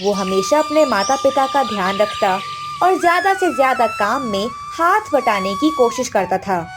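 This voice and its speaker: A woman narrator reading a scripted moral tale for a cartoon. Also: a little high. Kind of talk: scripted children's story narration. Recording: music bed behind the voice. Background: background music.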